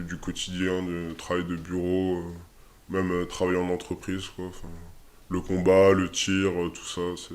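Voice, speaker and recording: Homme à la voix très grave, hésitant. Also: male voice, low voice, darkish tone. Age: 20s. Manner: hesitant, many fillers and restarts. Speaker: interviewee, army soldier. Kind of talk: casual interview answers.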